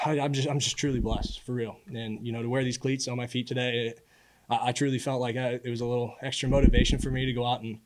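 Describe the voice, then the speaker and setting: Fast-talking young male athlete. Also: mid-range voice, level tone. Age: early 20s. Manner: casual, earnest, halting with repeated words. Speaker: college football quarterback. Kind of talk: postgame press conference answers. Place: indoor press room at a podium. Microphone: podium microphone.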